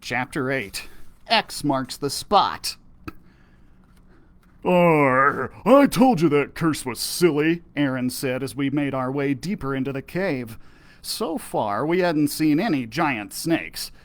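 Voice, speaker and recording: A man's voice: fairly high pitch, sing-song, takes it slowly. A man reading a children's story aloud, playfully talking like a pirate. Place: library video recording.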